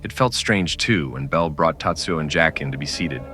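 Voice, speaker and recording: A man's voice: extremely low pitch, normal speed, pushed and bright, soft background music. A man narrating a scripted western story in the style of an old-time radio drama.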